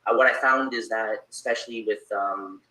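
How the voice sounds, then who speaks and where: A man's voice: fairly low pitch, fairly even intonation, moderate pace, bright, forceful tone, echoey room, low-quality recording. A man with a Canadian accent chatting casually as a guest YouTuber on an interview show. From Toronto area, Canada.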